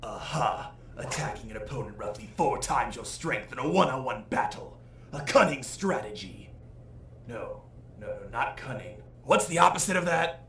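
A man playing an arrogant, hot-tempered space warrior villain in an anime parody, pompous and gravelly. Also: mid-range voice, animated, moderate pace, a bit bright. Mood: pompous, mocking. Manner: pompous, mocking. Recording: echoey playback with faint noise.

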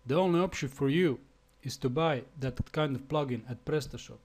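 A man from Slovenia with a Slovenian accent, speaking English as a second language in a halting screencast tutorial with fillers and restarts. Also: mid-range voice. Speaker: web developer.

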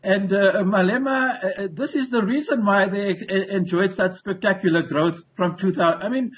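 A man's voice: high-pitched, normal speed. An older man with a South African accent, giving assertive political analysis on a news show over a video call, with many restarts. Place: home room with wooden ceiling. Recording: muffled video call audio.

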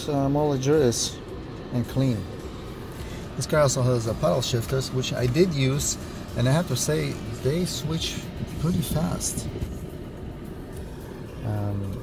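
A man's voice: medium pitch, vocal fry, background noise. Russian-accented man in a parked car. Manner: enthusiastic, halting with many fillers. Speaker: car reviewer. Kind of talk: casual car walkaround vlog. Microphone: phone camera at arm's length.

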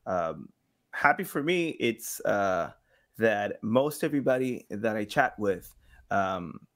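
A man in his thirties chatting casually as a podcast guest, thinking aloud haltingly and voicing imagined quotes. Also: lowish voice, very animated. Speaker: podcast guest, game sound designer. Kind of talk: casual interview chat. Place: small home room with slanted ceiling, indoors.

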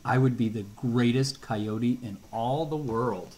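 A man's voice: medium pitch, takes it slowly, slight background noise, close to an everyday voice, poor audio. Man putting on an eager, boastful storybook coyote. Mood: wistful. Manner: pleading, excitable, demanding.

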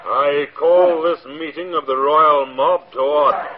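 Menacing unseen crime-fighter , male actor, taunting and measured, theatrical old-radio delivery, slightly high pitch, dull vintage sound. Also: steady tone, a loud crowd, lightly acted. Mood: commanding, ominous. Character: menacing invisible male avenger, taunting and commanding. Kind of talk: 1940s radio acting. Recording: muffled old 1940s radio recording.

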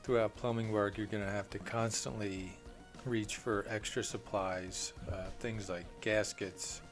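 Low-voiced contractor showing his tools. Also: steady tone, faint music behind. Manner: plain, unhurried, with frequent "uh" fillers. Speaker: plumbing contractor. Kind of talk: off-camera tool walkthrough.

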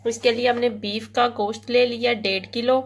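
A woman hosting a cooking channel, calmly listing ingredients and giving step-by-step recipe instructions. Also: fairly high pitch. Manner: plain, measured listing of ingredients. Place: home kitchen. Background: frying and stirring sounds.

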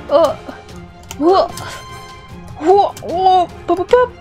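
A young woman giving excited, playful gameplay commentary, animated and exclamatory, often repeating words for effect. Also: very high voice, very slow. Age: young adult. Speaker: gaming video host. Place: small indoor room set up for streaming. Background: background music.

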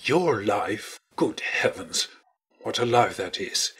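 Man doing a cold bitter gentleman, lowish raspy voice, sneering, storybook style. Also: lively intonation, takes it slowly, exaggerated voice. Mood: cold, scornful. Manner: cold, contemptuous.

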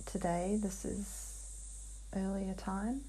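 A woman describing a trance vision in a dreamlike way as a guided hypnosis client, halting and hushed, with long pauses. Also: medium pitch, steady tone, breathy edge, low-quality recording.

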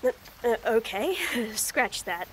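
A young woman voicing a shy, nervous tomboy friend in a romantic roleplay audio, stammering, giggling and speaking softly. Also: a little high, expressive, slow pace, slight background noise, rough recording. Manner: stammering, giggly, soft-spoken. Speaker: voice actor.

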